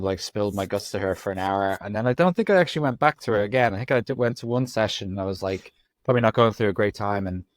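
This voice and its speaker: A man in his forties with an Irish accent, from Ireland, telling his story as a podcast guest in a reflective, halting way. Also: on the low side, no room sound. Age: 40s. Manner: reflective, frequent ums and restarts. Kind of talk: candid conversational storytelling.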